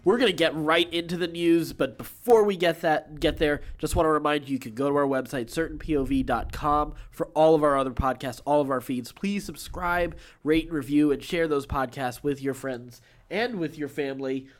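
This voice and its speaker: A man's voice: a little high, moderate pace, hardly any pauses. A man hosting a podcast, reading entertainment news with informal, enthusiastic, chatty asides. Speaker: podcast host.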